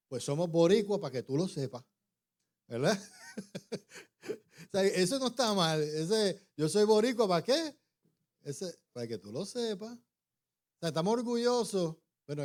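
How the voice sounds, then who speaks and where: A man's voice: on the high side, darkish tone. A middle-aged man and pastor, originally an English speaker, preaching in an informal way with frequent restarts and tag questions. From born in New York, raised in Puerto Rico. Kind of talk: conversational sermon.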